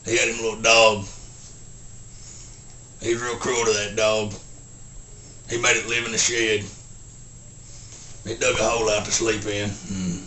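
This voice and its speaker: Halting rural Southern simpleton storyteller, forceful edge, monotone, grunting hums, film-parody style, faint background noise. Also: male voice, on the low side, roomy sound. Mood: deadpan, plodding. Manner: halting, deadpan, grunts "hmm" between short sentences. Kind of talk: folksy storytelling, film character impression.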